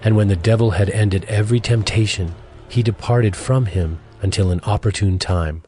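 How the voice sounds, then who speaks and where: Male narrator, lowish pitch, formal measured scripture reading, music underneath. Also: mellow.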